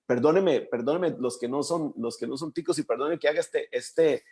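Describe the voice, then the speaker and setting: A man with a Costa Rican accent hosting an interview, talking in a reflective, halting way as he searches for words. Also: a little high, a little mellow. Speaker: interview host. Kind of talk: casual interview conversation.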